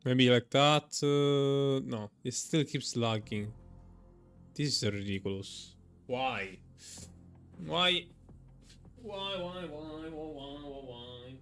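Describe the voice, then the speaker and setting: A young man giving casual gameplay commentary, muttering and repeating himself in a halting way. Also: medium pitch, a bit bright, faint music behind. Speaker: game streamer.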